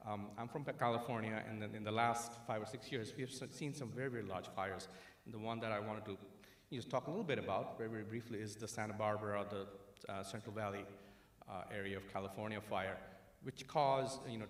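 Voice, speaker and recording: A man from India giving a conference talk at a podium in a large auditorium, measured and explanatory with many fillers. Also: lowish voice, fairly even intonation. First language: an Indian language. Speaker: conference speaker. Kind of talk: conference presentation. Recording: roomy hall with long reverb.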